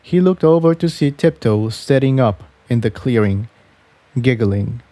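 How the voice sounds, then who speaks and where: A man narrates a story from a script in a mellow, relaxed voice. He takes his time, and the recording is close and dry. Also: medium pitch, measured pace, no room sound.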